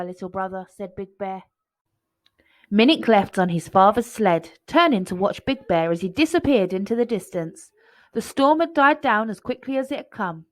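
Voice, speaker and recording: A woman storyteller reading a children's story aloud in an expressive way. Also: a little high.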